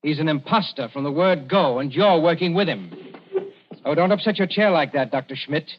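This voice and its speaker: Guy doing a cool young official, dry and wry, kinda high voice, muffled old radio. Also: expressive, normal speed, slight background noise, lightly acted. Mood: calm. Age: young adult. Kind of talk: 1940s radio acting. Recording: dull 1940s radio broadcast.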